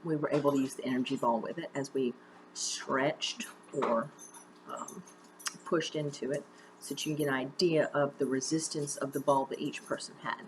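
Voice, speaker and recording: A woman's voice: rumbling low, fairly even intonation, normal speed, slight background noise, low-quality recording. A woman drama teacher giving a conversational, encouraging step-by-step lesson on a home-recorded video. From United States. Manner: conversational, encouraging step-by-step instruction with direct questions. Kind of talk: instructional video mini-lesson.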